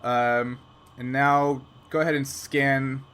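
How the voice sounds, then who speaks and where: A man narrating an improvised sci-fi tabletop game as game master, conversational and pausing to find his words. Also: medium pitch, flat delivery, a bit bright, a little hiss or hum.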